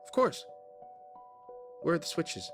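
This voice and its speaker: A man's voice: takes it slowly, over music, close to an everyday voice. An actor playing a frightened, exasperated male paranormal investigator in an audio drama. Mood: nervous, reluctant.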